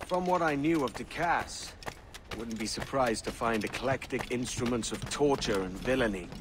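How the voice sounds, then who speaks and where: A man's voice: medium pitch, normal speed, natural voice. A man playing a brash, roguish pirate captain in a video game, sardonic and swaggering. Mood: wry, intrigued. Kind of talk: video game cutscene dialogue. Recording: noisy outdoor ambience behind.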